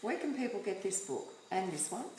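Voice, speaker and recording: A woman's voice: mid-range voice, sing-song, brisk, darkish tone, echoey room, a little hiss or hum. A woman hosting a casual interview, chatting freely and leaning on fillers like "like" and "you know". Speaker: talk show host.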